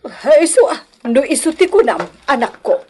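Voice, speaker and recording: A woman's voice: high-pitched, big pitch swings, normal speed, darkish tone, slight background noise. A woman acting an older, meddling mother-in-law who scolds and complains in a radio drama. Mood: wounded, plaintive. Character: meddling elderly mother-in-law, reproachful and self-righteous.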